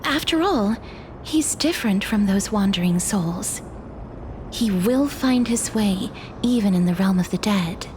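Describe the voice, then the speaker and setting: A woman playing a gentle, solemn young maiden princess in a video game, speaking in a measured, formal, pensive way. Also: mid-range voice, animated, noisy background, close to an everyday voice. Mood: calm, hopeful.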